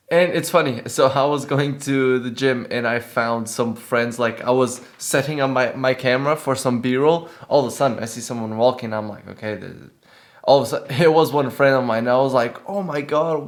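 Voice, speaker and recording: A young man vlogging his day and casually talking through trading charts, relaxed and self-deprecating, with frequent fillers. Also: mid-range voice. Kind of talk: casual vlog and market commentary.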